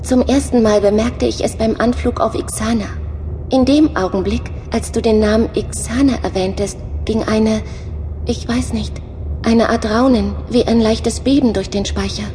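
A woman voicing a female onboard computer in an audio drama. She speaks softly and earnestly, lonely and longing to have a body. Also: on the high side, steady tone, moderate pace, slight background noise, natural voice. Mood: troubled. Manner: soft-spoken, earnest, searching. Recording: music underscore behind voice.

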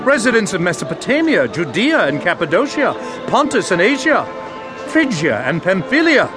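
A man's voice: high voice, big pitch swings, moderate pace. An actor playing an astonished male pilgrim, exclaiming emphatically in a dramatized audio Bible. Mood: amazed, bewildered. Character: astonished man among pilgrims, exclaiming in wonder. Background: music.

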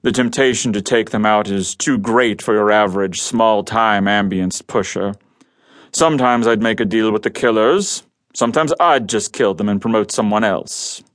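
Man playing a rumbly, coldly menacing crime boss. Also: a bit bright, close to an everyday voice. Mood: smug, matter-of-fact. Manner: measured, formal, urbane menace. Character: gigantic male crime boss posing as quiet bodyguard, coldly menacing. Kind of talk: audio drama acting.

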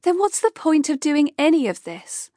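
A woman voicing Kay, a sharp outspoken young woman. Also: high-pitched, animated, moderate pace, vocal fry, no room sound, clean studio sound, pitched above the performer's own voice, full character voice. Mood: scolding. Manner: forceful, scolding, exasperated.

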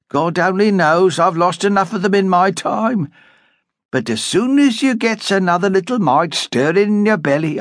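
A man's voice: high-pitched, no echo, clean studio sound, pitched above the performer's own voice. A man voicing a stout, bossy middle-aged innkeeper's wife, blunt and scolding in earthy dialect.

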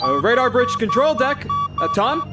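Brash, animated young space cadet. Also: male voice, extremely high pitch, normal speed. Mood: worried. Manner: stammering when challenged. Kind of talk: radio play acting. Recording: loud music behind radio drama.

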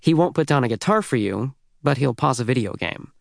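A man's voice: medium pitch, lively intonation, fairly quick, vocal fry, dry recording. Wry American man narrating, dry recording. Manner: youthful, wry, conversational. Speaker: audiobook narrator. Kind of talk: first-person teen novel narration.

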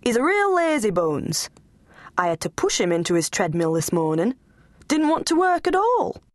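A woman's voice: mid-range voice, very animated, moderate pace, dry recording, voice pushed up, natural voice. A woman voicing a harried, middle-aged female cook who is deferential yet grumbling.

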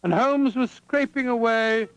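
Fussy old english doctor on a 1940s radio show, kindly, rather high voice, hems and haws. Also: male voice, unhurried, soft background music. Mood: genial, reminiscing. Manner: avuncular, fussy, hemming and hawing. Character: kindly, avuncular old English doctor telling his memoirs. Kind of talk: 1940s radio drama acting and storytelling. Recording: vintage 1940s radio broadcast recording.

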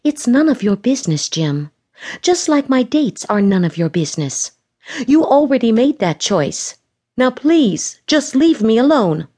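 A woman's voice: on the high side, very animated, measured pace, dark tone, voice pushed up. A woman reading the lines of a frustrated, defiant young woman in an audiobook romance. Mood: angry. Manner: heated, defensive.

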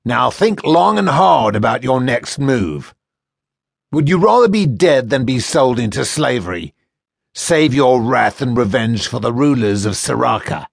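A man's voice: mid-range voice, animated, vocal fry, dry recording, studio quality. A man voicing a cruel, mocking male ship's first mate who taunts his captives. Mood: taunting. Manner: taunting, mocking, drawn-out menace. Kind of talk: audiobook character voice.

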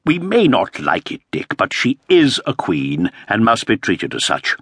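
A man's voice: fairly low pitch, huge pitch swings, a little slow, a bit bright, husky, no echo, studio quality, full character voice. A man voicing a put-upon, aging Elizabethan earl who speaks in a plaintive, complaining way. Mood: aggrieved.

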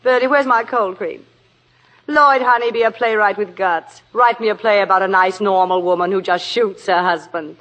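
An actress playing a brash, sardonic theatre diva, imperious and theatrical, in a 1950s radio drama. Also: female voice, medium pitch, normal speed, a bit bright, big-room sound, lightly acted, low-quality recording.

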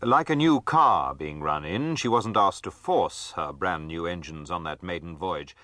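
A man's voice: low voice, expressive, unhurried, pushed and bright, no echo, clean studio sound. A man narrating a dramatised history from a script.

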